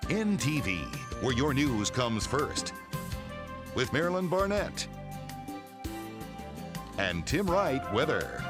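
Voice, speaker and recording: An American man announcing a newscast intro in a measured, emphatic promo voice-over. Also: mid-range voice, very animated. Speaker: station announcer. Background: loud theme music.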